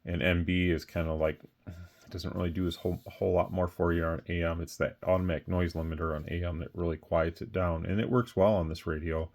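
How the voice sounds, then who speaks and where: A man with a deep voice talks off the cuff, like a small seller showing an item. His delivery stays quite flat and plainspoken. He rambles a little, qualifying his points as he goes. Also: quick pace. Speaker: radio seller presenting a product. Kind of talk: casual off-the-cuff product talk.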